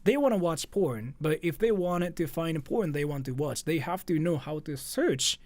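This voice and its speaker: A livestreaming man with a Japanese accent and a fairly high, very animated voice. He rambles casually and jokes informally, recorded close and dry. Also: moderate pace, no room sound. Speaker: livestream host.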